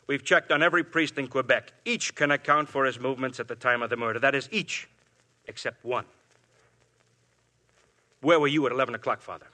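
An actor playing a probing police inspector. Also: male voice, medium pitch, fairly quick, long pauses, sharp tone, lightly acted. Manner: polite but probing, pressing. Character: shrewd persistent male police inspector. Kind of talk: 1950s radio drama acting.

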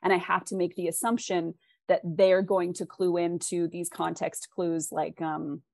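Lower-pitched woman explaining in an interview. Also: flat delivery, measured pace, gravelly, darkish tone, no room sound, clean studio sound. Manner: explanatory, acts out imagined inner questions. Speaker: interview guest, fiction author. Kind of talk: thoughtful conversational interview answers.

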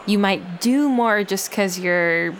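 A woman in her thirties co-hosting a casual science podcast, joking often and chiming in with quick replies. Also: mid-range voice, slight background noise. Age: 30s. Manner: jokey, laughs often, quick back-channel replies. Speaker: podcast co-host.

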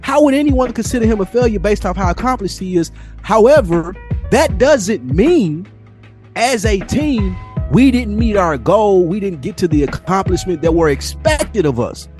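A man with a fairly high voice debates sports on a podcast with some music underneath. He is emphatic and argumentative, hammers points by repeating phrases, and leans on slang and profanity. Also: expressive, normal speed, a little mellow. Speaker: podcast co-host. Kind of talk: casual heated sports debate.

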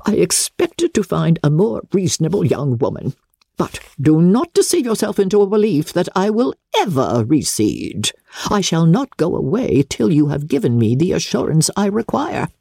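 An imperious older aristocratic lady in a dramatic audiobook. Her pitch swoops widely in a low, husky voice as she lays down the law with pompous indignation. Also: sing-song, normal speed, warm tone, no echo, studio quality, exaggerated voice. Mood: shocked, insistent. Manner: pompous, declamatory, emphatic, formal. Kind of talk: dramatic audiobook reading of classic literature.

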